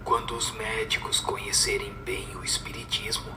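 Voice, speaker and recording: A man playing a solemn spirit teacher in a radio drama, speaking in a measured, didactic and breathy whispered voice. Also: lowish voice, little pitch movement, lightly acted. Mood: solemn instructive. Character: solemn male spirit teacher giving moral answers. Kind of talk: scripted radio drama recitation. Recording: echoing voice over music.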